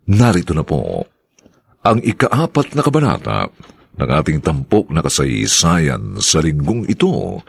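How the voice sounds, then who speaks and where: A man hosting a radio drama, announcing credits and greeting listeners in a formal, measured way on a close studio mic. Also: sits low, sing-song, dry recording. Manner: slow, measured, gravelly delivery.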